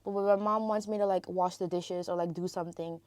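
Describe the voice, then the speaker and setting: A woman's voice: fairly low pitch, fairly even intonation, fairly quick, darkish tone, no room sound. A young woman from Malaysia with an American-sounding Malaysian English accent, chatting as a podcast guest and filling her talk with "like" and "you know". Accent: American-influenced Malaysian English. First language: Tamil. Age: young adult. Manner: chatty, lots of "like" and "you know", frequent restarts. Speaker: podcast guest, international student. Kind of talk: casual interview conversation.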